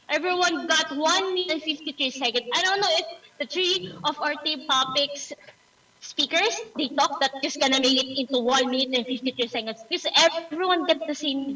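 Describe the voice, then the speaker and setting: A woman's voice: sits high, bright, forceful tone. A Filipino woman whose first language is Tagalog, giving a playful timer's report with theatrical asides over a video call. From Philippines. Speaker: meeting timer. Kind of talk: online club meeting report. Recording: echoey room, distant mic.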